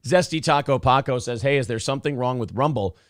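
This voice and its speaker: A man with a hoarse, raspy voice hosts a casual radio show, rambling through chat messages with restarts and swearing. His pitch sits in a middle range. The studio sound is dry and close. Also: fast, dry recording. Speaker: radio show host reading live chat.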